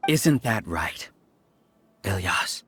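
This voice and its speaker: A man's voice: sits low, big pitch swings, very slow, fairly bright tone, slight background noise, exaggerated voice. A man playing a smug, calculating researcher in a video game, lecturing with condescending self-assurance. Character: smug, calculating male researcher leading a hive mind.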